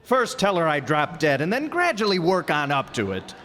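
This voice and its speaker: A man's voice: a little high, wildly swooping pitch, normal speed, bright, forceful tone, exaggerated voice. A man playing a grumbling put-upon salesman. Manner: grumbling and wisecracking. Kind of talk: live radio play. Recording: live stage with hall reverb.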